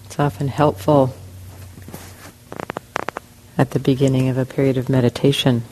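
A woman leads a guided meditation, speaking slowly in short phrases with long pauses. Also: rumbling low, little pitch movement, mellow, a little hiss or hum, low-quality recording. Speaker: meditation teacher. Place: meditation center hall.

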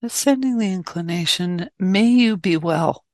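Female meditation guide, gentle and very unhurried, slightly low register, dry close sound. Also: lively intonation, dry recording. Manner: gentle, measured, with long pauses. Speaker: meditation teacher. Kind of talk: guided loving-kindness meditation.

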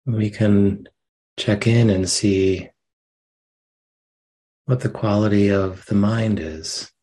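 Male meditation teacher, very unhurried delivery, husky low register, gentle phrasing. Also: fairly even intonation. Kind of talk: guided meditation, slow soft instruction.

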